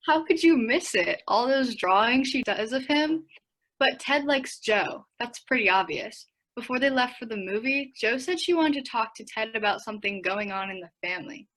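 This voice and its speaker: Radio play sister: gentle, anxious, earnest, slightly high-pitched. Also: female voice, expressive, moderate pace, rough recording. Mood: uneasy. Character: Beth, gentle, worried teenage sister.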